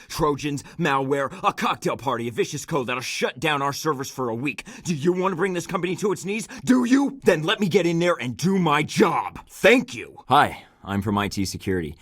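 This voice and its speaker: A man's voice: on the low side, no echo. An actor playing a cocky young male secret agent who trades dry, sarcastic quips, recorded close in a studio booth for a video game. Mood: urgent bluff. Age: young adult. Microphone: close studio mic.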